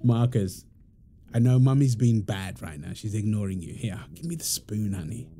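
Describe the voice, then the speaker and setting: A man acting as a young father in a domestic roleplay audio, with a very dark, relaxed voice and lively, swooping intonation. He is playful and affectionate, teasing in an informal, soft-spoken way over faint background noise. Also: fairly low pitch, moderate pace. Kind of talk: intimate roleplay audio, casual domestic chat.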